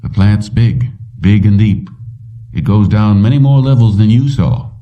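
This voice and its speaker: A man's voice: lowish voice, expressive, a little slow. A man reading an audiobook, voicing an earnest, resolute older father who owns a company. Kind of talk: audiobook character dialogue. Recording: slightly distant, dull lo-fi recording.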